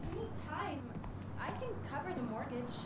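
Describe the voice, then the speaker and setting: An actress playing a supportive young girlfriend in a video game, frank and soft in manner. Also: sits high, very animated, normal speed. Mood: reassuring. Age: young adult. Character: supportive, independent young girlfriend, gently teasing. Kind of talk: naturalistic game cutscene acting.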